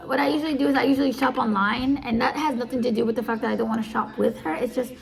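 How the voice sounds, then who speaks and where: A young woman in her early twenties talks through advice in a loose, rambling way. Her voice sits a little high, with a heavy gravelly rasp. She drifts off track and circles back to the same point. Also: very fast. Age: early 20s. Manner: rambling, easily distracted, repeats herself. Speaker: audio live streamer. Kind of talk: casual advice talk.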